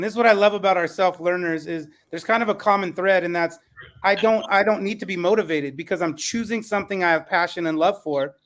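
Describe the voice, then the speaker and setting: A man with a distinctly high speaking pitch moderates a panel discussion as host. He affirms what his guests say and speaks in an encouraging manner. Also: fairly bright tone. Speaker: podcast host and school teacher.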